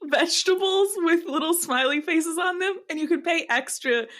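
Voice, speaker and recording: A woman's voice: extremely high pitch, normal speed, a bit bright. A woman co-hosting a podcast in a casual, comedic chat, giggly and colloquial in the way she talks. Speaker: podcast co-host.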